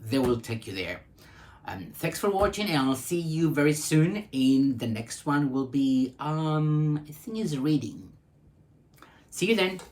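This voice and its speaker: Guy in his 40s reading form tips at home, keeps restarting his words, laptop mic. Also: medium pitch. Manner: reads aloud with frequent restarts and self-corrections. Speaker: host. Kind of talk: reading out benefit form guidance. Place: living room at home, indoors.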